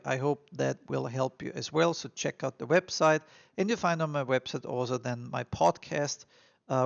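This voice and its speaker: Gravelly Austrian man giving earnest advice. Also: mid-range voice. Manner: earnest and encouraging, repeats himself, frequent fillers. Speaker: immigration vlogger. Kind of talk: advice monologue to camera. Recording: dull lo-fi recording.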